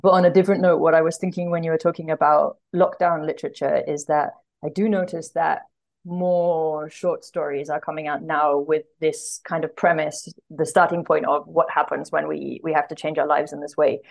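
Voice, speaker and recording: A woman speaking casually as a podcast guest in an interview, thoughtful and halting as she works out her phrasing. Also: sits low, flat delivery, creaky. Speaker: podcast guest, literary translator.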